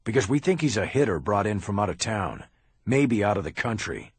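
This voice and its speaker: A tough middle-aged cop, voiced by a man reading the book. His lines come out blunt and profane in a husky tone, recorded dry and close with no room around it. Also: lowish voice, sing-song, fairly quick, no room sound. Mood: stern. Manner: blunt, curses, barks orders. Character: gruff tough middle-aged male police detective. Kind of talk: audiobook character reading.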